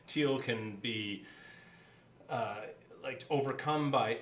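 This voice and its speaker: Echoey man in halting casual conversation. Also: mid-range voice, fairly bright tone, a little hiss or hum. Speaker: podcast co-host. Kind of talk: casual behind-the-scenes chat. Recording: echoey room sound.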